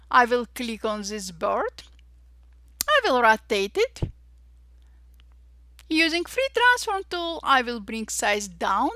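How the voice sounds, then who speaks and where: A young woman with an Armenian-Russian accent, cheerfully walking through software steps one by one and saying "voila" after each result. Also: on the high side, no room sound, studio quality. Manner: cheerful, says "voila" and "perfect" often, slow deliberate steps. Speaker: Photoshop tutorial instructor. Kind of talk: step-by-step software tutorial narration.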